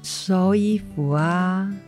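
A woman's voice: fairly low pitch, drawn-out words, darkish tone, faint music behind. A woman with a Taiwanese Mandarin accent acting a mild, stubborn injured mother in a radio drama. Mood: mildly defensive. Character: injured mother, mild, stubborn, defensive toward her son.

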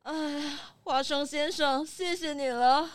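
A woman's voice: sits high, fairly even intonation, slow, no echo, clean studio sound, soft background music. A woman playing an anxious, fretful mountain lodge keeper in a children's radio drama. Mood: subdued.

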